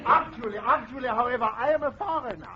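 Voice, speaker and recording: High-voiced, cheeky foreign contestant. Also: male voice, fast, over a noisy crowd. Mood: earnest. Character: cheeky, literal-minded foreign male contestant, smug newlywed. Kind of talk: 1940s radio comedy acting. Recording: noisy muffled old radio recording, loud studio audience.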